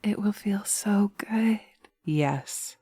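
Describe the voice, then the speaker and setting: A woman voicing a sly, seductive witch hypnotist in an ASMR roleplay, breathy and often whispering, coaxing and commanding on a close microphone. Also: medium pitch, warm tone, dry recording, full character voice. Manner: slow, coaxing, taunting, commanding. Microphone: close binaural microphone.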